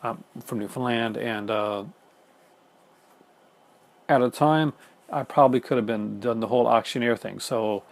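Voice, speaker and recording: A Canadian man talking fast and casually to the camera at home, with many fillers and restarts. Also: mid-range voice, creaky, no echo. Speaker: film collector YouTuber. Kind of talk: casual chat reading viewer comments. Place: home room in front of film shelves.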